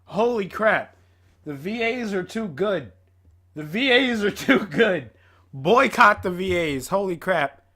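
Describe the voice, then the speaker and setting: An excitable host giving casual, exclamatory, dramatic reaction commentary. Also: medium pitch, slow.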